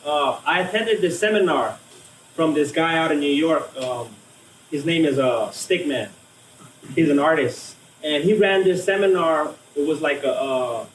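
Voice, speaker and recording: A man's voice: on the high side, slight background noise. A man in his thirties from Hawaii, raised in Las Vegas, telling his story casually with many fillers and restarts. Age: 30s. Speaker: vegan cook and guest. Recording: echoey room from a distant mic.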